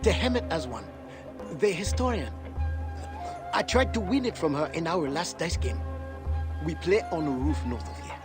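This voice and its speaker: A man voicing a nervous, sly antiquities merchant in a game, fawning and dropping to secretive hushes. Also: on the high side, lively intonation, moderate pace, pauses often, music in the background, natural voice.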